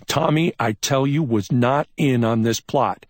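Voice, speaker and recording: A man doing a brusque, excitable cop. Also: mid-range voice, normal speed, slightly rough, dry recording, studio quality, voice pushed up. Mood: urgent. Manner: snapping, urgent, scolding. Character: brusque, excitable male police officer.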